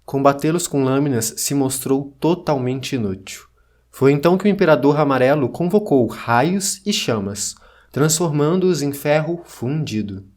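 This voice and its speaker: A man playing a solemn chronicler, narrating ancient legends in a measured, scripted way for an audio drama. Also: mid-range voice, expressive, darkish tone, natural voice. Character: solemn male chronicler reading ancient myths.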